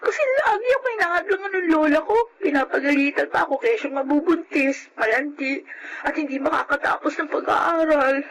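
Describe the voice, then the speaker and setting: A woman's voice: high-pitched, a little fast, slight background noise, low-quality recording. A woman playing an aggrieved, weary eighteen-year-old schoolgirl in a radio drama, plaintive and pleading. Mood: bitter, sad.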